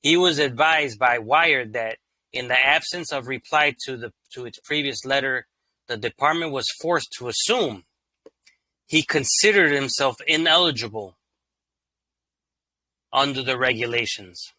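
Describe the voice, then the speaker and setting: A man hosting his own channel, reading court rulings aloud in an even, monotone way and breaking off into casual, slangy commentary. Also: medium pitch, a little slow, no echo. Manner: reads legal text evenly, then breaks into loose slangy asides.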